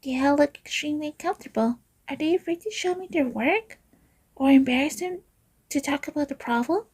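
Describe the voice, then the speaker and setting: Casting: polite young fantasy-game girl, played by a woman, extremely high voice, soft and kindly. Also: a little fast, natural voice. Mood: gentle, polite. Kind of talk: amateur game voice-over.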